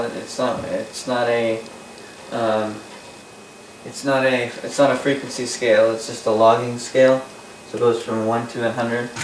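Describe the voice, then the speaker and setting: Male, Canadian accent, amateur hobbyist presenter. Monotone, halting delivery with rephrasing, heard in an echoey room. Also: medium pitch. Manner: halting, repeats and rephrases himself. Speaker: vintage radio hobbyist presenting. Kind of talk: casual unscripted demonstration. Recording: roomy, distant mic, faint noise.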